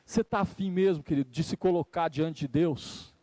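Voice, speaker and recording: High-pitched Brazilian male preacher, close dry sound. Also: moderate pace, warm tone, no echo. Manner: emphatic, repeating phrases for effect; conversational, addressing listeners directly. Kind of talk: church sermon. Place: live church service.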